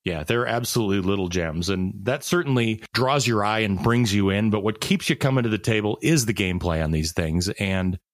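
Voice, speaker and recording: A man's voice: fairly low pitch, brisk, hardly any pauses, no echo, clean studio sound. A man co-hosting a casual podcast chat, talking with enthusiasm and telling stories. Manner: enthusiastic, storytelling, frequent laughs. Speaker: podcast co-host.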